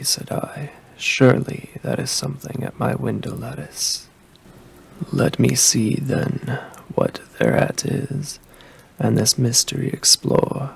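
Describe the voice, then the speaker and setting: A man reading a poem as an ASMR creator, with a deep, gravelly voice and a slow, hushed delivery that slips into whispers. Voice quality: deep, gravelly voice with heavy fry. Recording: faint rain ambience behind the voice. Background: rain ambience.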